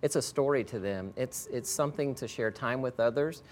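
A man with an average-pitched voice speaks as an interview guest. He answers conversationally as a school educator. There is slight rumbling noise behind him. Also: a little fast, darkish tone.

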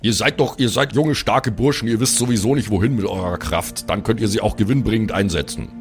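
A man playing a dutiful, studious, resigned adventurer in an improvised audio play, reasoning earnestly with pauses. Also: fairly low pitch, faint music behind, natural voice. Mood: chiding, resigned.

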